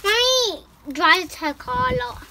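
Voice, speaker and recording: A woman's voice: extremely high pitch, lively intonation, measured pace. A young girl chatting as she washes a car outdoors, narrating each step. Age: child. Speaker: child washing the car. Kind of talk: casual chat. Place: outdoors on a driveway. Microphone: handheld phone camera. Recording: noisy outdoor phone recording.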